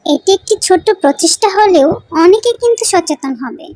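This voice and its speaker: A woman's voice: very high-pitched, expressive, a little fast, a little mellow, distant mic. A woman voicing a tiny, earnest schoolgirl in a cartoon, with a preachy manner. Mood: earnest concern. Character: tiny earnest schoolgirl, indignant about litter. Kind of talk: cartoon dialogue.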